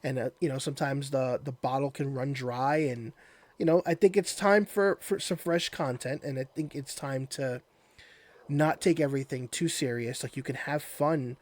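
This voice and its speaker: A man's voice: medium pitch, fairly even intonation, normal speed, a little mellow, dry recording. A man hosting a casual interview, talking in an agreeable, enthusiastic fan's manner. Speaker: interview host. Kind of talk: casual chat.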